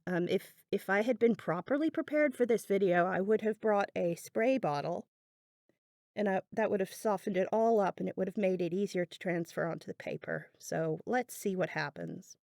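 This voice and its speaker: A woman's voice: medium pitch, normal speed, creaky, darkish tone, dry recording, studio quality. A woman giving a casual craft tutorial voiceover, chatty and thinking aloud as she goes. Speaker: craft channel host.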